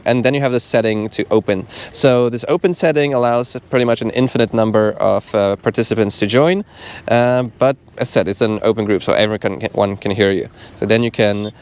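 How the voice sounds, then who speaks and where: A man's voice: medium pitch, smooth. A man giving a casual, chatty product demonstration as a vlogger.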